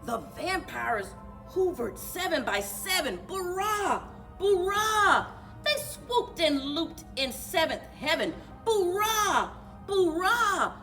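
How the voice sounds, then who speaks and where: A woman reading a children's storybook aloud in an animated, rhythmic singsong for a library story time. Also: very high voice, takes it slowly, faint music behind. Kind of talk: children's storybook read-aloud with chanted refrains.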